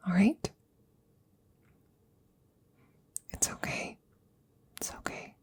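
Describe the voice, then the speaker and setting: A woman playing an anxious, caring scientist in a whispered ASMR audio roleplay, halting and repeating herself nervously. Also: lowish voice, warm tone. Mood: soothing, worried. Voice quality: breathy, husky whisper. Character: anxious female scientist tenderly saving her dying best friend.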